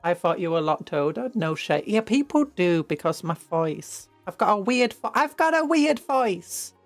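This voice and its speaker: Croaky-voiced young British woman streamer. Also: mid-range voice, very animated, moderate pace, faint music behind. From Britain. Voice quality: croaky from hay fever. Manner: rambling and playful, with restarts and sudden squeals. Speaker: streamer painting miniatures. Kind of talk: casual livestream chat.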